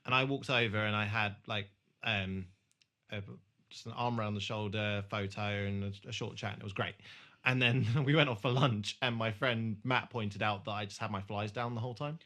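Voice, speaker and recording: Male podcast host, very bright and punchy, loose jokey conversational delivery with swearing. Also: lowish voice, fairly even intonation, moderate pace. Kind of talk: casual chat.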